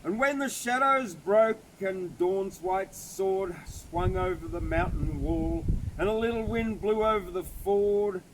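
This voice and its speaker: A man reciting a bush ballad in a theatrical, measured way with emphatic phrasing. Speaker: poetry reader. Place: outdoors at a cave site. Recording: very noisy, clicks, vehicle-like rumble.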